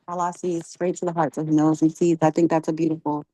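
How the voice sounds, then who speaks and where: Dry, low-voiced woman leading a class. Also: little pitch movement, warm tone, no room sound, poor audio. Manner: encouraging, halting with many ums. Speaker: class leader asking catechism questions. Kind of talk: religious class question-and-answer.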